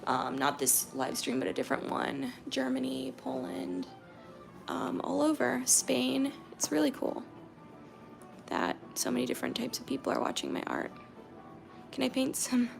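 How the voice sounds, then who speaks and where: A young American woman from Georgia chatting casually with viewers on a painting livestream, reading their comments aloud and murmuring softly while she paints. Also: sits high, very animated, moderate pace, gravelly, over music. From Georgia, USA.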